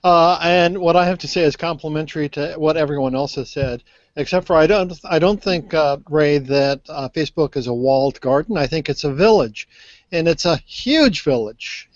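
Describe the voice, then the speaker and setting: Higher-pitched older man, very dry sound. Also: no room sound. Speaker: panelist. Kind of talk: conversational opinion. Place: indoor room, video call. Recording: dull lo-fi video-call audio.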